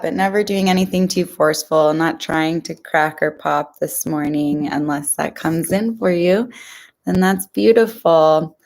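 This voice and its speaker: Slow-paced woman guiding a meditation. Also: on the low side. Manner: gentle, reassuring, informal. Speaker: guided meditation instructor. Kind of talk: guided mindfulness meditation, addressed to viewers.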